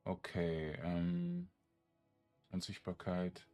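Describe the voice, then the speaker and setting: A man giving casual game commentary, thinking aloud in a halting mutter as he plays. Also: deep voice, big pitch swings, a little mellow, faint music behind. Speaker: gaming streamer.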